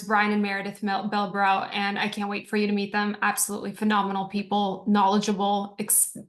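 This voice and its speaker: A woman from Croatia, a company co-founder, giving an earnest, energetic business pitch on a video call.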